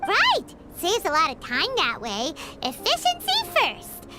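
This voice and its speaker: A woman voicing a tiny, excitable floating fairy companion in a video game, with a squeaky childlike voice and a perky, blunt manner. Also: a little slow, full character voice. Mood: matter-of-fact. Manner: perky, speaks of self by name. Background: game music.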